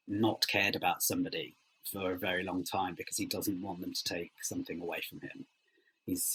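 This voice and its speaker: A man being interviewed as a writer, speaking reflectively and haltingly as he searches for words. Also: low voice, a bit bright, husky, poor audio.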